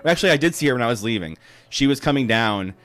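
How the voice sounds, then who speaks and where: A man's voice: medium pitch, expressive, quick pace, a bit bright, no echo. A man co-hosting a podcast in casual, chatty banter. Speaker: podcast co-host.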